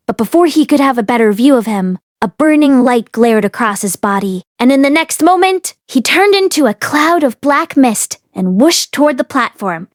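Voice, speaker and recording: A woman's voice: high-pitched, dry recording, clean studio sound. A woman reading a fantasy novel aloud as an audiobook narrator. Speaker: audiobook reader. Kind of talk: expressive storybook narration.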